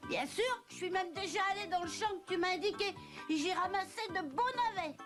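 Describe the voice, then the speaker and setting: A woman's voice: very high voice, brisk. A woman voicing a small, clever, cheeky boy pig in a French children's cartoon, childlike and perky. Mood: triumphant, perky. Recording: loud music behind the voice.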